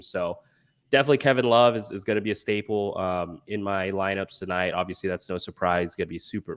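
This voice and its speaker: A man's voice: on the low side, fairly bright tone. A congested man with a nasal sound, giving rambling, frustrated sports fantasy analysis. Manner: rambling, frustrated, filler-heavy. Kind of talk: casual analysis chat.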